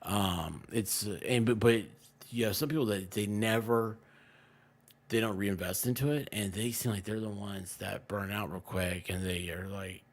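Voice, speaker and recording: A man's voice: on the low side, fairly even intonation, moderate pace. A man talks off the cuff in a casual stream chat. He rambles, circles back and often lets a sentence drift off before it is finished. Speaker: online content creator and streamer.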